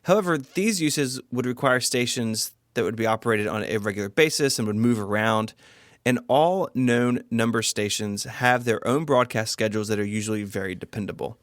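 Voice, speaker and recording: A man's voice: medium pitch, normal speed, no room sound. Man co-hosting, dry close sound. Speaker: podcast co-host. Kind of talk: scripted explainer with casual banter.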